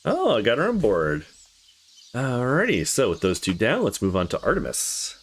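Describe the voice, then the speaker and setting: Man with lively, swinging intonation. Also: medium pitch, normal speed, slight background noise. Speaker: let's play host reading a visual novel aloud. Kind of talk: casual gaming commentary and read-aloud.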